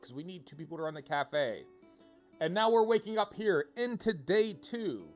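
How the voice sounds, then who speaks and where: A man giving casual livestream commentary to viewers, thinking out loud in an informal, rambling way and often rephrasing himself. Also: sits high. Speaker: video game livestreamer, host. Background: music.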